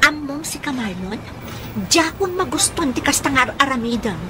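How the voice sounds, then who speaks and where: A woman's voice: high-pitched, big pitch swings, normal speed, noisy background. An actress playing a reluctant, irritable young working woman in an Ilocano radio comedy drama, complaining expressively. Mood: annoyed. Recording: music behind the dialogue.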